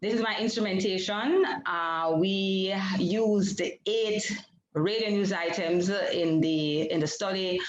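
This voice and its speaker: A Jamaican woman whose first language is Jamaican Creole, presenting her research over a video call in a measured, explanatory way, with frequent fillers and self-corrections. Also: mid-range voice, roomy sound, muffled recording. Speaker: PhD candidate presenting research. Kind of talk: academic seminar presentation.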